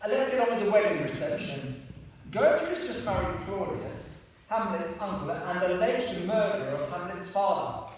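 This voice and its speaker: Comic radio-play director-narrator male actor: pedantic, exasperated, swooping pitch, far from the mic in a reverberant hall. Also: expressive, moderate pace. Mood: weary explaining. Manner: pedantic, long-suffering, bossy. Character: exasperated director-narrator of a hapless amateur Shakespeare production. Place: theatre hall, live performance. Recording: very echoey hall, distant mic, hiss.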